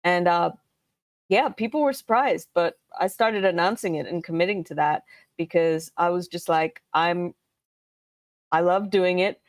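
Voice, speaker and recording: A woman co-hosting a podcast, talking in a lively, animated way. The recording is dry, with no room sound. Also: fairly low pitch, unhurried, dry recording. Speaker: co-host. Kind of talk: casual podcast chat.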